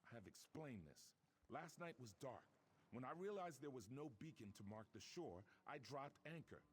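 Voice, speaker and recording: An actor playing a proud, stern ship captain in a game, speaking in a formal, theatrical way. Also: male voice, mid-range voice, expressive, moderate pace, a little hiss or hum, lightly acted. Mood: grim.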